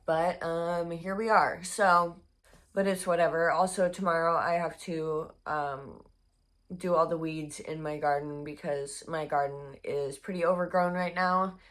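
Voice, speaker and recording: A young woman chatting casually in a crochet vlog, her voice hoarse and congested, rambling with many fillers. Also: low voice, steady tone, a little slow, fairly bright tone. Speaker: crochet vlogger.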